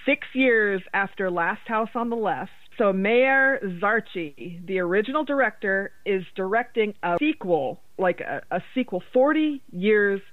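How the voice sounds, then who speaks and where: A woman's voice: mid-range voice, animated, a little slow, few pauses. A woman comes through a thin, phone-like connection. She talks casually and informally, like a podcast co-host among friends, in a mid-range voice. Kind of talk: casual horror film chat. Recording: tinny phone-like line, roomy echo.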